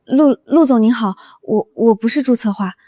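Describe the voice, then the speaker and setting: Actress as young woman, very high voice, flustered, stammering, panicky excuses, animated short drama. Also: a little fast, lightly acted. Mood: nervous, meek. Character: flustered young woman office planner faking a timid twin.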